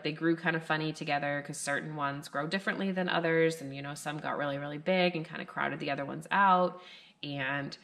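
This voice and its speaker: Chatty low-voiced woman gardening vlogger. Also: a bit bright. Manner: rambling and chatty, with many fillers. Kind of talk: casual chat to camera. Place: living room at night, indoors.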